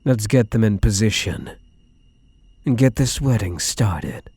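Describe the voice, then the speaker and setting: Guy acting as a creepy obsessed yandere kidnapper, slow and soft dark voice, doting but menacing, asmr roleplay. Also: fairly low pitch, big pitch swings, husky, no room sound, exaggerated voice. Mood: briskly commanding. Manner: hushed, drawn-out, menacingly tender, often slipping into whispers. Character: possessive male yandere kidnapper, obsessively doting on captive spouse.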